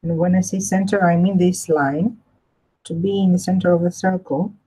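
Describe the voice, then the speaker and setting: A woman's voice: fairly low pitch, steady tone, moderate pace, mellow, slightly rough, poor audio. A woman with a Greek accent hosting a casual live craft demonstration, repeating and rephrasing herself as she explains and chats. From Greece. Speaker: craft tutorial host.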